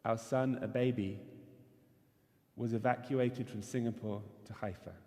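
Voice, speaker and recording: A man giving a measured, earnest sermon as a rabbi in a synagogue. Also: mid-range voice, steady tone, warm tone. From not Israel. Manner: measured, earnest preaching cadence. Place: synagogue sanctuary. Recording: long hall reverb.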